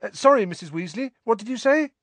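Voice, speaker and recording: A man voicing an excitable, blunt teenage schoolboy in an audiobook, eager and blurting. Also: sits high, quick pace, dry recording, voice pushed up, full character voice. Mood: flustered.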